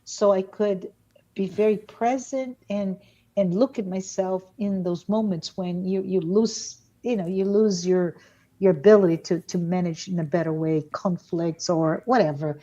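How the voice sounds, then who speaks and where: Slow, mellow woman. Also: mid-range voice, lo-fi sound. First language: Portuguese. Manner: reflective, self-deprecating, many pauses. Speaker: podcast co-host. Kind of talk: casual spiritual discussion.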